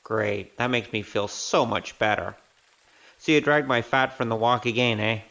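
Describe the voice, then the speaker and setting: A man's voice: medium pitch, normal speed, sharp tone. A man playing a breezy, chatty wandering rogue with a wry streak in an audio drama. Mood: groggy, sardonic.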